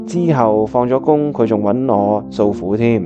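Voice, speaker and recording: A man's voice: medium pitch, measured pace, natural voice. An actor with a Hong Kong Cantonese accent playing a lovestruck, scheming young man who narrates a radio drama in colloquial, earnest style over music. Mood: reflective storytelling. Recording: dull lo-fi audio with music behind.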